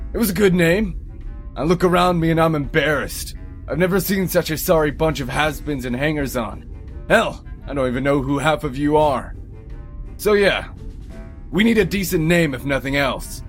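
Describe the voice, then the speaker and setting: A man acts a blunt old-war robot soldier with open scorn in his voice. His pitch sits a little high, and soft music runs behind him. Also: natural voice. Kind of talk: fan audio drama acting.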